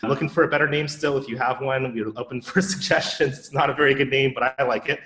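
An enthusiastic man hosting a tech podcast, asking questions in a relaxed, conversational way. His voice sits at a middle pitch. A roomy echo hangs around it. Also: quick pace. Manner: relaxed, enthusiastic, with many "you know" fillers. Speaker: podcast host. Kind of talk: casual tech podcast interview.

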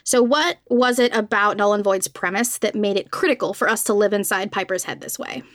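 A woman's voice: medium pitch, a little fast, no echo, studio quality. A woman hosting a casual podcast interview, affirming and often checking in with tag questions.

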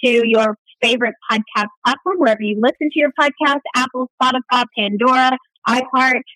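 A woman's voice: high-pitched, a little fast, bright, forceful tone, no echo, low-quality recording. A woman co-hosting a talk radio show, upbeat as she asks questions and reads out promotions.

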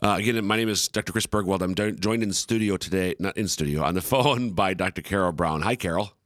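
A middle-aged man from Minnesota hosting an interview show, friendly but halting, restarting his sentences. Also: deep voice, a bit bright, dry recording, clean studio sound. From Minnesota, USA. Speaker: podcast host.

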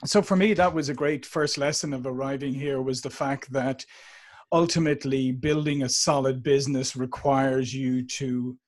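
A man in his forties speaks with a warm Dublin Irish accent. He talks in a lively, conversational way, full of restarts and small fillers. His pitch sits in the middle range. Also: moderate pace. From Ireland. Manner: animated, restarts sentences, frequent "uh". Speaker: podcast guest. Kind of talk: conversational interview.

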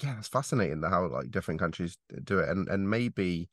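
Male podcast host, low voice, dry close sound, halting and chatty. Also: lively intonation, fairly bright tone, no echo. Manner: hesitant, many fillers and restarts. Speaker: podcast host, driving instructor trainer. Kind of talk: casual interview chat.

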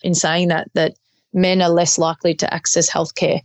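A woman's voice: fairly low pitch, flat delivery, moderate pace, no echo. A young adult Australian woman chatting informally and openly as a guest in a casual interview. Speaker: interview guest, health teacher.

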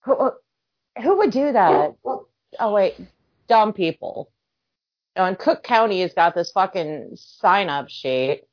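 A woman drawling through a casual chat with friends, her pitch swinging widely as she jokes. The sound is dry and a little dull, like a lo-fi voice call. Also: big pitch swings, no room sound. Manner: slow, drawn-out, profane banter. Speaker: group chat participant.

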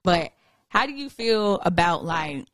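A woman's voice: fairly low pitch, very animated, a little slow, fairly bright tone, dry recording, clean studio sound. A woman with a Southern US accent from Atlanta hosting a casual interview, talking in an informal, slangy, familiar way. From Atlanta area, Georgia, USA. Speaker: show host, interviewer.

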